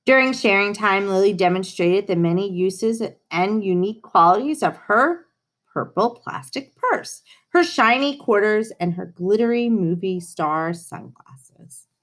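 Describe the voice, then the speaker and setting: A woman reading a children's story aloud in an animated, emphatic way, pausing to comment to her listeners. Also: medium pitch. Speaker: storybook reader. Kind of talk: expressive children's read-aloud with asides to listeners.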